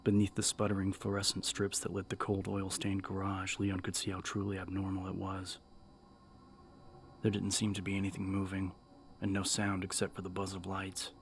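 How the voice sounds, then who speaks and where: A man narrating dramatic fiction as an audiobook, with measured, suspenseful delivery. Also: low voice, monotone, a little hiss or hum.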